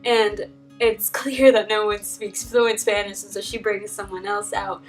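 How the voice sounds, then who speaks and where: A young American woman chatting casually to camera as a vlogger, with an animated way of telling personal stories. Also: medium pitch, unhurried. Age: young adult. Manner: animated, acts out quoted conversations. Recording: distant mic, background music. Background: acoustic folk instrumental music.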